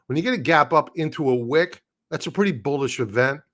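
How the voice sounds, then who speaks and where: A man hosting a live market stream, talking through charts in a blunt, opinionated way with casual slang, his voice going hoarse and breaking into throat-clearing. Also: on the high side, animated, a little fast, fairly bright tone. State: losing his voice.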